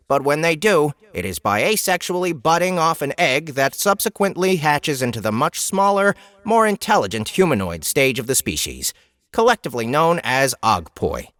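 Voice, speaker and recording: Animated fantasy lore guide. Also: male voice, medium pitch, dry recording. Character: instructive narrator of magical monster lore entries. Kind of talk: scripted encyclopedia-style narration in fantasy audiobook.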